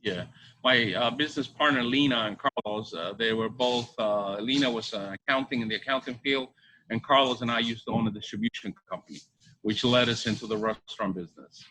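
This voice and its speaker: A middle-aged man from Bolivia answering interview questions conversationally, halting and repeating his phrases. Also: mid-range voice, pushed and bright, low-quality recording. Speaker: restaurant co-owner. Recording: faint background ambience noise.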